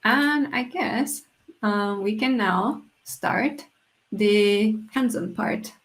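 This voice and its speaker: A woman's voice: mid-range voice, drawn-out words. A woman from Japan, co-hosting a casual developer livestream in a chatty, loosely hedging way. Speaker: developer relations engineer, co-host. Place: home setup in Germany.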